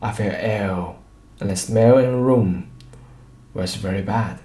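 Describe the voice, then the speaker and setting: Man playing a reserved, courteous doctor, slow-paced. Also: fairly low pitch, dark tone, reverberant, close to an everyday voice. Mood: polite, hesitant. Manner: courteous, hesitant. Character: polite, reserved male London doctor, curious and uneasy. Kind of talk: audiobook character dialogue.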